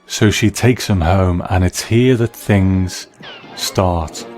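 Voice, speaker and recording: A man's voice: low voice, takes it slowly, darkish tone, soft background music. A man narrating a scripted video essay as a reviewer of film and television.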